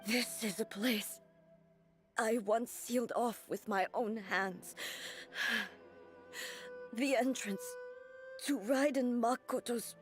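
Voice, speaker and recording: An actress playing a solemn, regal warrior ruler in a video game, speaking in a formal, grave, measured way. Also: female voice, a little high, faint music behind. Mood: grave, strained.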